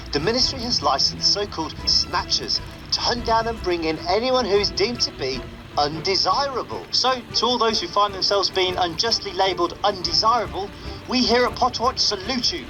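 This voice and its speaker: A wry pirate-radio host. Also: male voice, sits high, rough recording. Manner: wry, sarcastic newsreader. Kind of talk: radio news broadcast. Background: game music.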